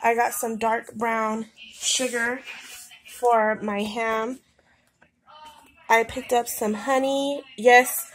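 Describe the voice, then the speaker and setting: A congested woman talks through her groceries at home, her nose stuffed up from a cold. She rambles in a relaxed, chatty way with plenty of ums, in a fairly high voice. A faint hiss sits under the phone recording. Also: poor audio. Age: adult with a daughter. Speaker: vlogger. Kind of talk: casual grocery haul vlog. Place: home, indoors. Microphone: phone camera pointed at groceries.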